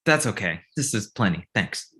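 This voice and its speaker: Male actor as easygoing young guy, lively pitch, placating, polite, comedy table read. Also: moderate pace. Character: mild, conciliatory young man Eric.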